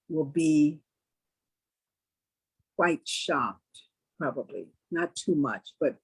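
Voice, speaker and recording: An American woman with a husky voice answers questions as an interview guest. Her delivery is casual, measured and deliberate. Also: low voice, a little mellow, poor audio. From New York. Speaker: stage play cast member as guest.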